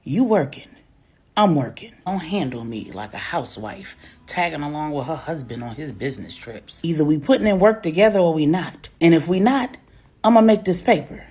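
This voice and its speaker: Woman playing streetwise man, story character, lowered husky voice, slangy, stubborn, proud. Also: lively intonation, moderate pace, mellow. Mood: firm, teasing. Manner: slangy, firm, smooth-talking.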